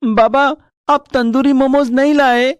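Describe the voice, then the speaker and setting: A woman's voice: high voice, steady tone, unhurried, a little mellow, dry recording. A woman voicing an eager, food-loving little boy in a moral story, childlike and pleading.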